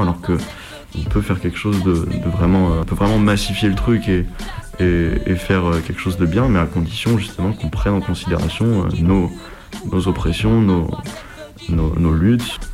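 A man with a very low voice gives an informal spoken testimony. He talks hesitantly, searching for his words as an activist would when recounting experience. Music plays clearly underneath him.

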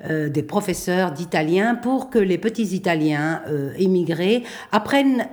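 Middle-aged woman, lowish voice, hesitant, reflective. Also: animated, a little mellow. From Belgium. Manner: thoughtful, hesitant with many pauses and restarts. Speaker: playwright and performer. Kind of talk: radio interview.

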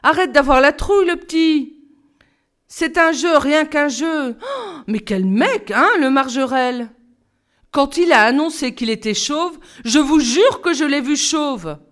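A woman voicing a cheeky, excitable schoolboy who chatters in slangy bursts. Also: high voice, a little slow, pitched above the performer's own voice. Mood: excited.

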